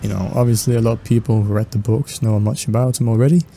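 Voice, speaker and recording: Relaxed Danish-accented man, dark tone. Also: on the low side, fast, a little hiss or hum. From Denmark. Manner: relaxed, rambling, many fillers and restarts. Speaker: gaming YouTuber. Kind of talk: casual gameplay chat.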